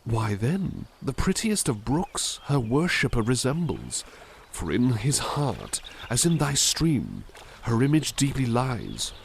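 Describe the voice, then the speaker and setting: A man voicing a kind-hearted, caring butler, reading poems softly and musing gently between them in a close, intimate roleplay. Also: fairly low pitch, vocal fry, a little hiss or hum, exaggerated voice. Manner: soft-spoken, gentle, reflective asides. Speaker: ASMR roleplay performer. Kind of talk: ASMR roleplay poetry reading.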